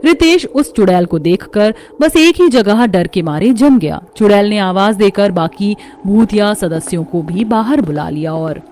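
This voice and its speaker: A woman's voice: medium pitch, expressive, measured pace, hardly any pauses, a little mellow, slight background noise. A woman reading scripted narration for a horror story.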